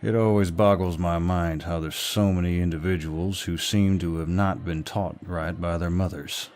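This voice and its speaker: A man playing a protective, dominant Southern gentleman in an audio roleplay, speaking politely but firmly with a Southern US drawl. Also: low voice, fairly even intonation, normal speed, lightly acted. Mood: gentle, curious. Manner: polite, drawling, firm, old-fashioned courtesy. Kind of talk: roleplay audio acting, intimate and scripted.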